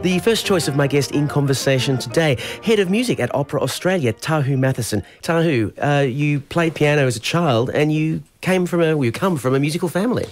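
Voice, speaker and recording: A man's voice: on the high side, animated, brisk, few pauses, faint music behind. A man hosting a radio interview, asking questions in a friendly way with short interjections. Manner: friendly, prompting, brief interjections.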